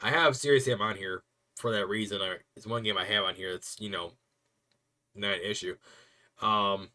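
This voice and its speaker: A young man rambling casually to viewers on a stream, chatty and quick to laugh. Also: on the low side, fairly even intonation, pushed and bright.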